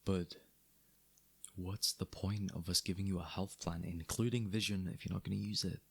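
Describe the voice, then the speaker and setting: A man playing a wry, gentlemanly mafia boss in an intimate ASMR audio roleplay, with a husky, gravelly low voice and a dry, sardonic, conversational manner. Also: fairly even intonation, normal speed, no room sound, full character voice. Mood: exasperated, stern. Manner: dry, conversational, sardonic, addressing listener as doll.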